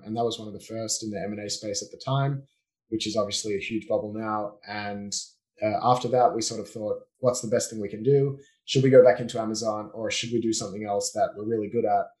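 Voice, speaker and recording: A man with an Australian accent, a business owner speaking as a guest on a podcast in a relaxed, explanatory way with frequent fillers. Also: on the low side, poor audio. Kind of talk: casual conversational interview.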